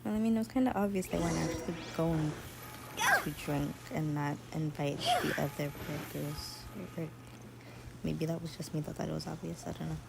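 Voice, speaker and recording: Brief: a low, gravelly woman streaming, mumbly and offhand, with clear noise behind. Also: fairly even intonation, fairly quick. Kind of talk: casual livestream commentary.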